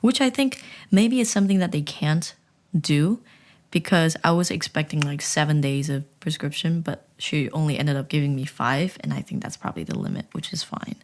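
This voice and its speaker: A woman's voice: deep voice, normal speed. A young woman vlogging in a casual, chatty way, telling a story with self-mocking humor and many restarts.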